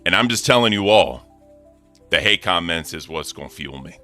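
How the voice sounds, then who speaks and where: A deep-voiced man co-hosting a casual livestream, talking back at critics in a defiant, animated way with swearing. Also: normal speed, faint music behind. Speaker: co-host.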